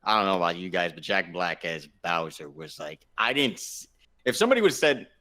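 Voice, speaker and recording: A man's voice: low voice, sing-song, fairly quick, sharp tone. A man co-hosting a gaming show, talking casually and emphatically with his fellow panelists, often repeating himself for stress.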